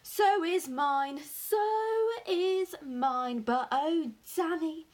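A woman voicing a jubilant, boastful father in a storybook, excited and grandly emphatic as key words are drawn out. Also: on the high side, unhurried, pitched above the performer's own voice, full character voice. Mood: elated disbelief. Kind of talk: acted audiobook dialogue.

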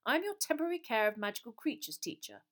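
A woman voicing a brisk, curt older witch who teaches a class and barks clipped orders. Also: on the high side, sing-song, a bit bright, pitched above the performer's own voice. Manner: clipped, bossy, barking orders.

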